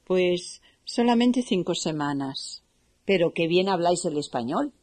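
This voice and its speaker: A woman with a Spain Spanish accent playing a polite, friendly Spanish woman in a language-course dialogue, speaking slowly and clearly for learners. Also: fairly low pitch, expressive, darkish tone. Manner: slow and clearly spoken for learners.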